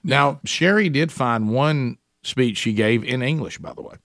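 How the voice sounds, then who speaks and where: A man hosting a talk show, giving casual political commentary in a halting way, with restarts and fillers. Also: medium pitch, gravelly, a bit bright, dry recording, clean studio sound.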